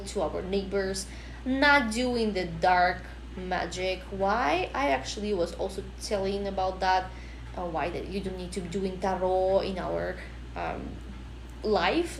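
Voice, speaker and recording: A woman's voice: mid-range voice, roomy sound, slight background noise, rough recording. A woman from Russia in her thirties, speaking English with a Russian accent in a rambling, casual livestream. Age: 30s. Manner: non-native English, rambling. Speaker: livestream host.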